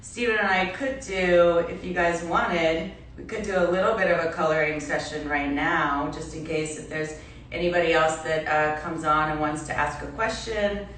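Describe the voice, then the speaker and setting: A woman from Belgium in her forties chatting casually on a livestream as an artist, with a halting manner and trailing restarts. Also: low-pitched, fairly even intonation, fairly bright tone, slight background noise. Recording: echoey room, distant mic, music behind.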